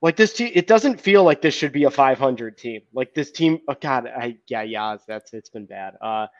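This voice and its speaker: A man co-hosting a sports podcast, recapping games in a rambling way with many fillers and quiet trailing endings. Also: medium pitch, lively intonation, normal speed, dry recording, highs cut off. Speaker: podcast co-host.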